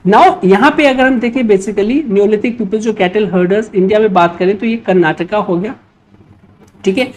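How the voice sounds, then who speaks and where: A woman's voice: medium pitch, normal speed, darkish tone, a little hiss or hum. A woman with an Indian accent lecturing as an exam-prep teacher, explaining points step by step and often checking in with short tags. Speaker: history teacher for exam preparation. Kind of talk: explanatory lecture working through quiz questions.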